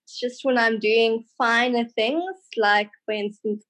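A woman's voice: fairly high pitch, steady tone, unhurried, a bit bright. A thirty-year-old woman with a South African accent, answering interview questions casually and a little hesitantly. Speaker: podcast guest, trained tour guide.

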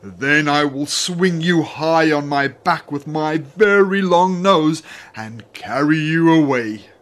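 A woman voicing a big, proud, kindly elephant in a children's story, speaking in a slow, booming way.

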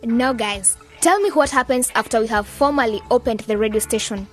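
An actress playing a bossy, sharp-tongued young woman radio presenter in a radio drama, brisk, no-nonsense and mocking. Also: a little high, faint music behind, lightly acted. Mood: businesslike.

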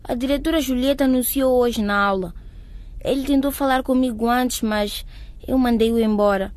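A woman's voice: a little high, moderate pace, darkish tone, no echo, natural voice. A woman acting an earnest, anxious teenage schoolgirl in a radio soap, polite and hesitant. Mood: confused, worried.

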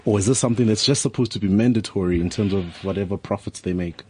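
A man's voice: fairly low pitch, fast talker, a little mellow, a little hiss or hum. A man who is an entrepreneur putting probing questions to a panel, often restarting with "I mean". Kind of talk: panel discussion.

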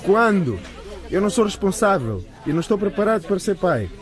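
A man's voice: sits high, big pitch swings, brisk, some chatter behind. A man playing a defensive, evasive teenage boy in a radio drama. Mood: defensive, shocked.

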